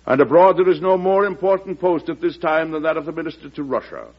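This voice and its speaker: An actor playing a shrewd, folksy American president with wry humour, speaking deliberately and oratorically in 1940s radio drama style. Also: male voice, fairly high pitch. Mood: stately, persuasive. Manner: oratorical, deliberate, chuckling in private talk. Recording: dull old radio recording, husky sound.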